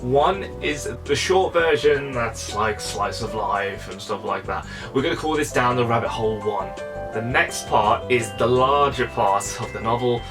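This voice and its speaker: A man's voice: medium pitch, unhurried, over music. A man narrating a game review in a casual, comedic, chatty style with theatrical exasperation.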